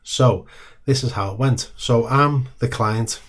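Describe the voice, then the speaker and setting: A middle-aged British man with a hoarse, croaky voice, hosting and telling a story in a relaxed way. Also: mid-range voice, slow pace. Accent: British English. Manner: relaxed, with frequent ums and acted-out dialogue. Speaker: host. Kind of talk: casual storytelling to camera.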